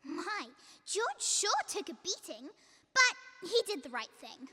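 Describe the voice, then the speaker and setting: Celestial listener played by a girl: very high, animated, radio drama, reverberant. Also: slow, heavily acted. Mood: curious, sympathetic.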